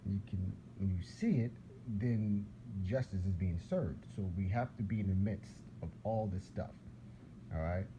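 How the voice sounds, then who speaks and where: A man's voice: low voice, unhurried. A man from whose was Arabic, preaching in a casual, rambling monologue that repeats words and restarts sentences. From Philadelphia. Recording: dull lo-fi sound with clear background noise.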